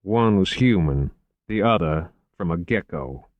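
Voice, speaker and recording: A man voicing an urbane, unhurried investigator in an audiobook, genteel and measured with dry wit. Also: sits low, expressive, no room sound, phone-quality sound. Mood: matter-of-fact. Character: urbane, unhurried, drily witty male investigator. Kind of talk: audiobook character acting.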